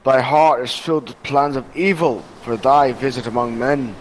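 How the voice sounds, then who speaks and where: A man playing a gentle, pious old priest with solemn delivery in old-time radio melodrama. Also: medium pitch, steady tone, moderate pace, lightly acted, poor audio. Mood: solemn concern. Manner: solemn, archaic preaching delivery.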